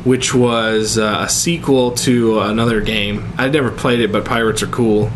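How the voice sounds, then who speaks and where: A man's voice: on the low side, fairly even intonation, normal speed. A man co-hosting a talk show in casual, unscripted banter with a wisecracking manner. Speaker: co-host of a video game and movie talk show. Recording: faint background noise.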